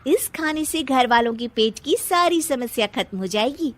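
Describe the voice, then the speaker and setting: Young daughter-in-law, voiced by a woman, proud kitchen rival, high pitch, keen to explain herself, comic storytelling. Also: animated, moderate pace. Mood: proud, eager. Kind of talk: dramatized comic family story.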